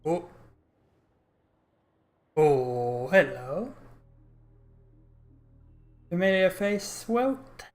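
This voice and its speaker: A woman's voice: on the low side, big pitch swings, very slow, pauses often, a little mellow. A woman streaming a story game, giving casual live reactions in short interjections and mutters. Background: video game music.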